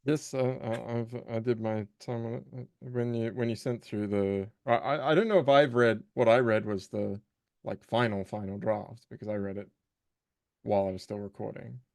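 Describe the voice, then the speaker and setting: A man's voice: fairly low pitch, steady tone, normal speed, vocal fry, darkish tone. A man talking casually as a guest in an online chat, tentative and often restarting his phrases. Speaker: audiobook voice actor, guest.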